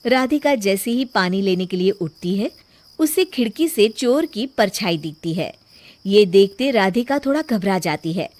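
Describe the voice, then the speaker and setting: A woman's voice: medium pitch, expressive, a little slow, a little mellow. A woman reading a scripted children's story as its narrator.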